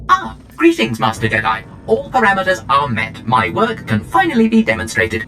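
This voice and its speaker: An actor playing a polite, proud demolition droid in a video game, formal and pedantic. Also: male voice, fairly low pitch, huge pitch swings, moderate pace, music in the background. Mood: smug courtesy. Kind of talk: scripted video game acting.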